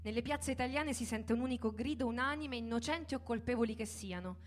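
Higher-voiced woman narrating over background noise. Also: brisk. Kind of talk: scripted literary narration for radio.

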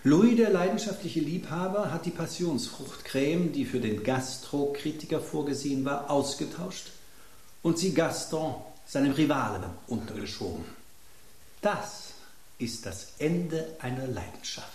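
A courtly, explaining gentleman. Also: fairly high pitch, animated, unhurried. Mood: grave. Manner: formal, old-fashioned courtesy. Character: courteous man explaining a plot twist. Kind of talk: radio play acting. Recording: roomy studio echo.

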